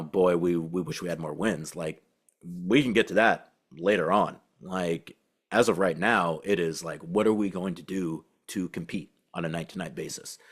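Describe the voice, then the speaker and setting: A man hosting a sports podcast, talking in a conversational, rambling and emphatic way with rhetorical questions. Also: low-pitched. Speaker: podcast host and basketball beat reporter. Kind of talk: conversational sports commentary and interviewing.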